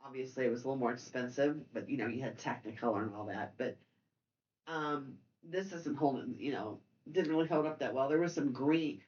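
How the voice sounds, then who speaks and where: A woman hosting a casual film discussion, speaking haltingly and often repeating and circling back over her words. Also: very deep voice, a little mellow, muffled recording. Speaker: podcast host.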